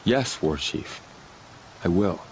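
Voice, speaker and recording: A man's voice: lively intonation, takes it slowly, darkish tone, slight background noise, full character voice, rough recording. A young man voicing an eager, loyal spear warrior in a game cutscene. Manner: eager, loyal, low-key. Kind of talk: video game cutscene acting.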